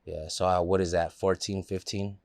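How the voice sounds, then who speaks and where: A man's voice: sits low, flat delivery, moderate pace, a little mellow, no room sound. A man from the Los Angeles area, a guest in a casual interview, telling stories in a loose, laid-back way with plenty of fillers.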